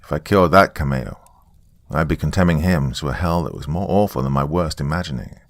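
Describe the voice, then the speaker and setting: A man's voice: extremely low pitch, lively intonation, fairly quick, no echo. A man giving a dramatic first-person reading of a scripted story. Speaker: horror story narrator.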